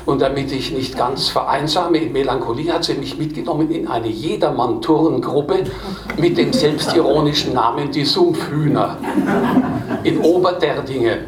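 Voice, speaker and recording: A man's voice: mid-range voice, normal speed, very noisy. A man from Baden reading his own stories to a live audience, chatting between readings with self-mocking, chuckling humor. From Baden, Germany. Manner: self-deprecating, chuckling storyteller. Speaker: author reading from his book. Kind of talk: live book reading with anecdotes. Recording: distant mic in an echoey room.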